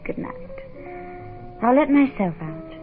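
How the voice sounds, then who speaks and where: A woman's voice: sits high, expressive, measured pace. A woman playing a detective's sultry, teasing date in a 1960s radio drama, coy and theatrical. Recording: phone-like old radio, music behind.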